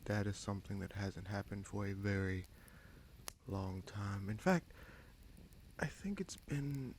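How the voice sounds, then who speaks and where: A man playing a scheming sorcerer prince in a fantasy audio roleplay, smug and coolly menacing, with a gravelly voice and slow, deliberate, taunting delivery. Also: on the low side, a little hiss or hum. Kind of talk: fantasy audio roleplay, dramatic monologue addressed to listener.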